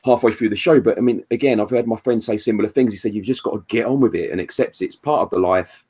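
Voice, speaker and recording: A man, co-hosting a casual chat and reacting with quick yeahs and British slang. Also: lowish voice, a little mellow, breathy edge. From Wales. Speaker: co-host.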